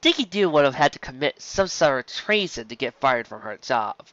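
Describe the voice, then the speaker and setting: A woman's voice: rumbling low, sing-song, normal speed, fairly bright tone, no room sound. A woman reading a story aloud as scripted narration, on a dull, dry home recording. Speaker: story reader. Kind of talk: scripted narration of fan fiction.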